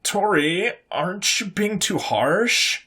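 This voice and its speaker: A woman's voice: mid-range voice, lively intonation, slow pace, bright, forceful tone, husky, voice pushed up, full character voice. A storyteller voicing a young woman private who is earnest and slightly hesitant but stands her ground. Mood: defending.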